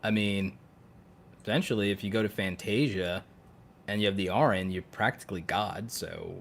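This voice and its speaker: A man's voice: on the low side, big pitch swings, moderate pace, vocal fry, slight background noise. A man chatting casually as a podcast co-host, riffing playfully and repeating himself for emphasis, with some swearing. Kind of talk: casual chat about fictional universes.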